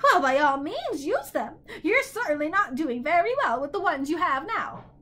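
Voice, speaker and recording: A woman's voice: high voice, sing-song, moderate pace, pushed and bright, voice pushed up, heavily acted. A woman voicing a malicious, haughty, unkempt male bird in a storybook, shrieking and cackling as he talks. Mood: gleeful.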